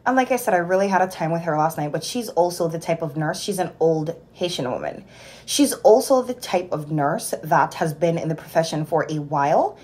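A woman's voice: on the low side, brisk. A young woman in her twenties from Jamaica, a nurse telling a work story in a casual vlog, recorded on a phone held at arm's length in a small room at home. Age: 20s. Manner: animated, exasperated storytelling. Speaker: nurse vlogger.

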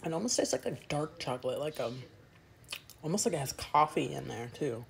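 A woman's voice: low voice, sing-song, brisk, creaky. A woman in her thirties filming a casual food review vlog on a phone at arm's length at home, chatting and describing each bite between mouthfuls. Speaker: food vlogger. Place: indoors at home, small room. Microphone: phone held at arm's length.

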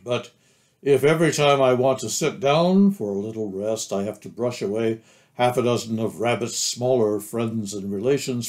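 A man voicing a gloomy, put-upon storybook donkey, grumbling in a halting, resigned way. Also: lowish voice, darkish tone.

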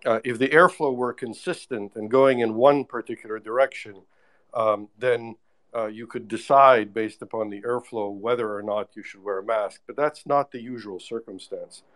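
A man on an online audio panel, answering listeners in a measured way with many fillers and restarts. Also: mid-range voice, a bit bright. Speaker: expert panelist. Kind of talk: live audio panel discussion. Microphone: remote online audio call.

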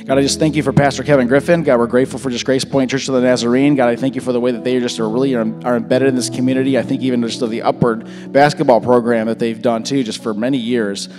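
A man in his thirties, a little hoarse, giving a relaxed church talk and prayer into a handheld mic, full of fillers and restarts. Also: medium pitch, quick pace, few pauses. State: slightly hoarse. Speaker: pastor. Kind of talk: informal sermon and prayer. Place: large church stage. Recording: church hall reverb. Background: soft worship music.

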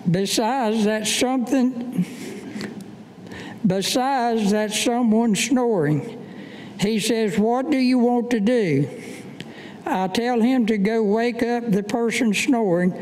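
An older man reads a war memoir aloud in a high voice. He takes it slowly, one halting line at a time. The room rings around the podium microphone, with noise behind him. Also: darkish tone. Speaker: Vietnam veteran reading his own work. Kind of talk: staged reading of a war memoir poem. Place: indoor meeting room, at a podium. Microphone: gooseneck podium microphone. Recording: echoey room, clear background noise.

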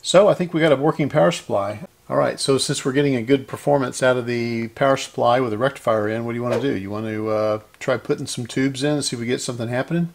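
A man's voice: medium pitch, quick pace, creaky, hardly any pauses. A man narrating a hands-on repair in a casual, methodical way, thinking aloud as he works. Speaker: host of a radio repair channel.

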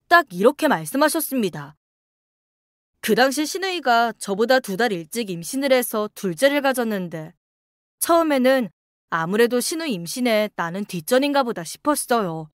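Female story narrator, slightly high voice, dry close sound, scripted first-person reading. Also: big pitch swings, moderate pace, no room sound.

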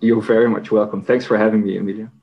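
A man's voice: lowish voice, flat delivery, fast, muffled recording. A man with a Dutch accent, a company managing director talking casually as an interview guest, explaining with many fillers. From Netherlands.

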